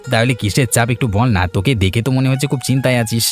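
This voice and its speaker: A man's voice: medium pitch, rapid-fire, soft background music, lightly acted. A man voicing a friendly, eager village man in a cartoon, chatty and helpful. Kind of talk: cartoon voice acting.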